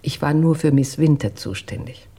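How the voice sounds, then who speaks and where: An actress playing a reserved, dutiful household employee who recounts events in a measured, careful way in a radio drama, with a husky voice. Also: female voice, sits low, mellow. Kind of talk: German radio drama acting.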